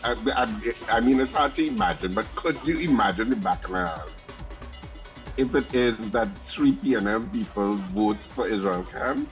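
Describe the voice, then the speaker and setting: A man's voice: on the low side, rough recording. Man co-hosting radio, faint music. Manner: conversational, questioning, drawn-out fillers. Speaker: radio breakfast show co-host. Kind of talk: casual studio banter. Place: radio studio.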